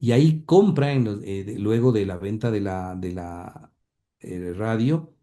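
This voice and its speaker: A man's voice: fairly low pitch, measured pace, mellow. A man telling family history in an interview, hesitating often with fillers and repeated words. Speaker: interview guest, grandson of the radio pioneer. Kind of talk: conversational storytelling interview.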